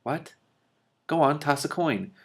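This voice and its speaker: A man's voice: a little high, lively intonation, slow pace, pitched above the performer's own voice. A man voicing a brawny, dim, blunt barbarian swordsman as he reads a fantasy storybook. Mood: puzzled, obliging.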